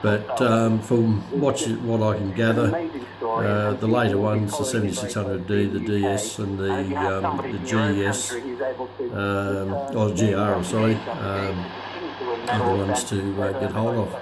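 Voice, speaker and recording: Hobbyist reviewer, male, fairly low voice, casual and hesitant, unscripted demo with clear chatter in the background. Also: little pitch movement, low-quality recording. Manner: halting, hesitant, searching for words. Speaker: presenter of a hobbyist radio review video. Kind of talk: unscripted product demonstration, casual. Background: radio broadcast voices playing from the demonstrated receiver.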